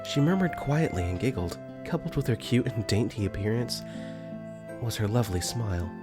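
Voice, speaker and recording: A man's voice: on the low side, expressive, moderate pace, music in the background, natural voice. A man playing a wry, easygoing teenage boy. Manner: wry, conversational, joking asides. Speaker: voice actor. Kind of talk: first-person visual novel narration and dialogue.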